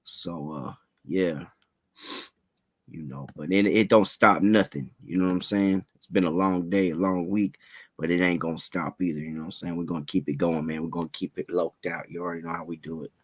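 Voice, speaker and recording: A man hosting a casual livestream interview, talking in relaxed slang, a little raspy from a head cold. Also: deep voice, brisk. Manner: laid-back slangy hype, fillers like "you know what I'm saying".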